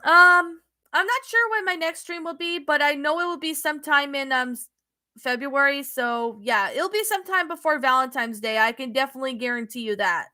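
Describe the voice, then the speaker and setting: A young woman with a Canadian accent and a high voice, chatting on a live stream. Her tone is bright and clear. She answers viewers casually, pausing on ums and restarting her sentences. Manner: hesitant, many ums and restarts. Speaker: streamer reading chat.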